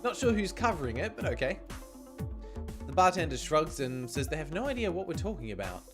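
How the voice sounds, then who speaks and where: A man livestreaming a visual novel, switching between expressive reading and chatty, playful commentary. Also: a little high, fairly quick. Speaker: game streamer. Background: game music.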